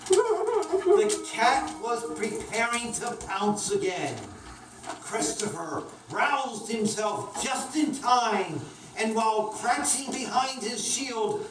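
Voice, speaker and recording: A woman narrating a children's story expressively as a puppet show storyteller. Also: a little high. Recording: echoey room, distant mic, clear noise.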